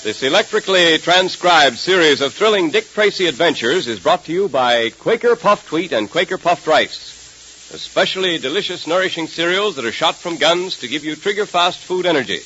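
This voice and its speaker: A hissy, muffled 1930s radio recording of an American male announcer. His delivery is emphatic and theatrical, fairly high and bright, with lively swings in pitch. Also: normal speed. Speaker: announcer and narrator.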